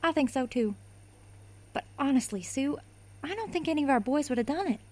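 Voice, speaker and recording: A woman's voice: high voice, lively intonation, a little fast, a little mellow. A woman playing a feisty, chatty cook. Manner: chatty, spirited. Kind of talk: amateur radio play acting.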